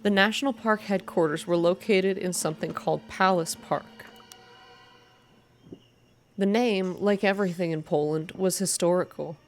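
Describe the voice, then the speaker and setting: Low-pitched woman reading a story, ASMR style. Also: moderate pace, a little hiss or hum. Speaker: reader of a visual novel game. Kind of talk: soft ASMR reading, scripted story narration with voiced dialogue.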